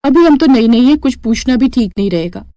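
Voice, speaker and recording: A woman's voice: a little high, expressive, fairly quick, dark tone, slight background noise, pitched above the performer's own voice. A woman voicing a young newlywed daughter-in-law, questioning and put-upon, in a cartoon moral story. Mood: puzzled.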